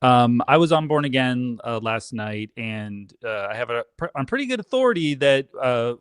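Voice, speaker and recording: A man bantering casually in a medium-pitched voice. He jokes in a tongue-in-cheek, mock-defensive way, and the sound is dry with very little room. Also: very animated, normal speed, clear, resonant tone, dry recording. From Canada. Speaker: guest on a live stream.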